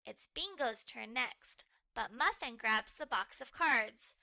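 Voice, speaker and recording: A woman narrating a children's storybook aloud, expressive and animated, carefully phrased for early readers. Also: on the high side, slow, vocal fry, dry recording.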